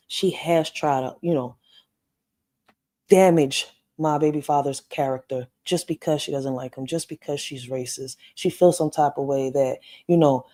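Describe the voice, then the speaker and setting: Mellow-voiced woman venting to her viewers. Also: deep voice. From Puerto Rico. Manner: emphatic, repeats "right?" and "you know" for agreement. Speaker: parenting vlogger. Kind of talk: casual personal monologue to viewers.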